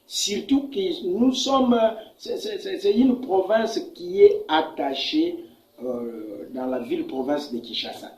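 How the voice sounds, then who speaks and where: A man's voice: high-pitched, takes it slowly, darkish tone. A man from the Democratic Republic of the Congo with a Congolese accent, answering an interviewer as a political leader in an emphatic way, repeating words. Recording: echoey, muffled, faint background noise.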